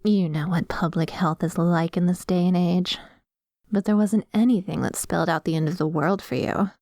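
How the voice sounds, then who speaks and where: A woman playing a dominant, possessive female vampire in an ASMR roleplay, talking in a coy, deliberate and quietly menacing way. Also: fairly low pitch, steady tone, no room sound, studio quality, lightly acted. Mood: coy, explaining.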